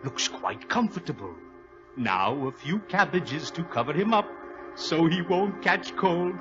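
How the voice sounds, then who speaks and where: An actor playing a sinister, gloating criminal mastermind in 1940s radio drama, suave and mock-courteous. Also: male voice, fairly high pitch, expressive, measured pace. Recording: dull old radio recording, music behind.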